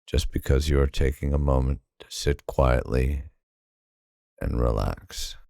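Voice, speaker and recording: A man narrating a guided sleep hypnosis in a calm, soothing, unhurried manner with long pauses. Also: extremely low pitch, gravelly, no room sound, clean studio sound.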